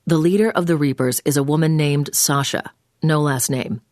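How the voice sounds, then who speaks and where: A woman's voice: low voice, moderate pace, darkish tone, no room sound, lightly acted. Actress doing a businesslike female agent handler for a video game, clipped orders, really dry close sound. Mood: businesslike, urgent. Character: brisk, commanding female intelligence agent giving orders. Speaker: mission handler over the phone. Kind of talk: scripted video game voice acting.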